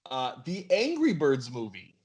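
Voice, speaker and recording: A man's voice: a little high, wildly swooping pitch, unhurried, darkish tone. A man hosting a podcast game in a casual quiz style.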